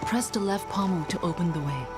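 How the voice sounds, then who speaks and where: A woman playing an earnest, gentle traveler in a video game, speaking plainly over loud music and rain. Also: lowish voice, brisk, natural voice. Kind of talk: video game cutscene acting.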